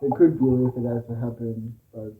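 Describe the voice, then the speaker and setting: Guest talking slow and unsure, roomy echo, phone-like audio. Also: mid-range voice, fairly even intonation, slight background noise. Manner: easygoing, hesitant, many fillers when explaining ideas. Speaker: podcast guest. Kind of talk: casual chat. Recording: echoey room, phone-like sound.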